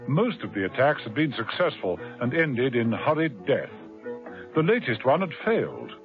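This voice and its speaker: A man narrating a 1970s radio drama in a dramatic, measured storytelling manner. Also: medium pitch, big pitch swings. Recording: tinny old radio broadcast with music.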